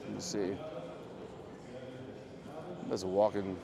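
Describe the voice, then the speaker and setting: A man with a low voice chatting casually and rambling to his livestream viewers as he walks through an airport terminal. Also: monotone, extremely slow, vocal fry, a crowd in the background. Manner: rambling, joking, talks quietly. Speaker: IRL livestreamer. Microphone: phone or camera carried while walking. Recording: noisy phone livestream audio.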